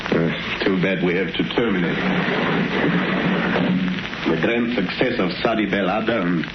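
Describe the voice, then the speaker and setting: A man's voice: low-pitched, a little slow, over loud music. An actor playing a smug, businesslike criminal henchman in a 1930s radio drama, with oily, stilted phrasing. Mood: smug, conspiratorial. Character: male criminal henchman, smugly businesslike and sly. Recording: muffled, very noisy 1930s radio recording.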